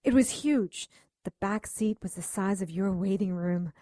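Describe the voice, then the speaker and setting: A wry woman tells of her past in an audio drama, played by an actress. Her voice is mellow and middle-pitched, set close and dry with no room sound. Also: measured pace, no echo, close to an everyday voice. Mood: wistful.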